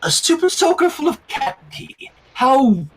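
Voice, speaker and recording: A man's voice: very high voice, unhurried, a little hiss or hum. A man reading a story aloud expressively, acting out dialogue between casual remarks as a stream co-host. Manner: expressive, acts out dialogue while narrating. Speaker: fanfic reader and stream co-host. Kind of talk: animated storytelling read aloud with casual chat.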